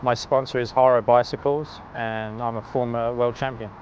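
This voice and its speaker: A man's voice: mid-range voice, steady tone, moderate pace, muffled recording, slight background noise. A man, a pro racer introducing himself matter-of-factly. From England.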